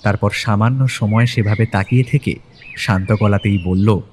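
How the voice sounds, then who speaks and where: A man's voice: lowish voice, normal speed, a little mellow, a little hiss or hum. A man narrating a scripted detective story in a dramatic storytelling style.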